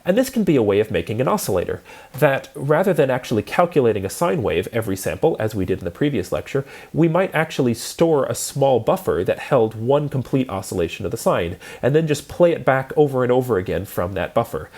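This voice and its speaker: Male lecturer, mid-pitched voice, explanatory and methodical instructional delivery. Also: very animated, a little fast, darkish tone.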